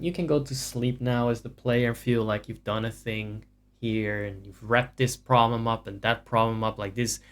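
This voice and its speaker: A man livestreaming a video game, giving casual commentary in a profane, sarcastic, ranting way. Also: medium pitch. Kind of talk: casual live gameplay commentary.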